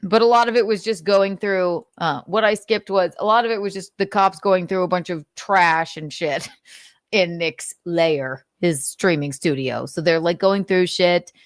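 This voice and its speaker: A woman's voice: fairly low pitch, a little slow, no room sound, clean studio sound. A woman hosting and casually reading notes aloud, adding informal, sweary, sardonic commentary as she goes. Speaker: commentator and show host.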